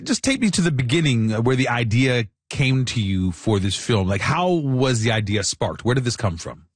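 A man's voice: medium pitch, animated, moderate pace, dry recording. A man hosting a live radio interview, talking conversationally with fillers and restarts into a studio microphone. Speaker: radio host.

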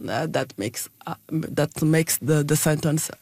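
A woman's voice: deep voice, steady tone, unhurried, a little mellow, dry recording. A woman from Niger, a Hausa speaker, speaking hesitantly in an interview, restarting words and using many fillers. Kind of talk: casual interview talk.

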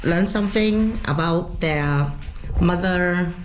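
A woman's voice: on the low side, takes it slowly, background noise. A woman who teaches Cantonese to young children, a native Cantonese speaker, giving earnest interview answers in English as she searches for words.

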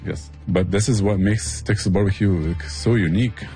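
A man's voice: lowish voice, moderate pace, warm tone, faint music behind. A man explaining things with passion in a conversational interview. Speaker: barbecue restaurant owner and chef, interview guest.

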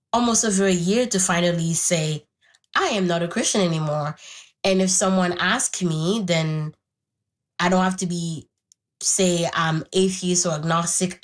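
A young woman from Haiti, a native Haitian Creole speaker, reflecting candidly and thoughtfully on her personal life as an interview guest. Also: on the low side. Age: young adult. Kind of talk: reflective personal storytelling in conversation.

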